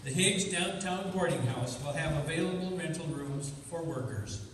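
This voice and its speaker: A man's voice: on the high side, lively intonation, moderate pace, noisy background. Old-time radio announcer man, echoey hall. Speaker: announcer and narrator. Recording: echoey hall, distant mic, music. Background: music.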